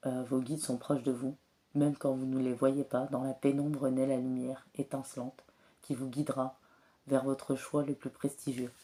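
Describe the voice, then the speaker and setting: A woman with a cold and a slightly hoarse voice, giving a spiritual card reading in a soft, hesitant way with many filler pauses. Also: rumbling low, level tone. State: has a cold, clears throat often. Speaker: tarot and oracle card reader.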